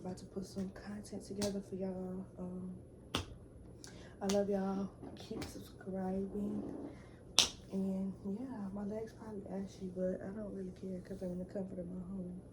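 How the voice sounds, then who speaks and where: A young woman chats to her camera from her bedroom, easygoing and rambling, with plenty of slang and "um"s. Her voice sits at an ordinary middle pitch. A clear layer of background noise runs under the home recording. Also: moderate pace. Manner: relaxed, rambling, slangy, lots of fillers. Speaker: vlogger. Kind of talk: casual chat to camera.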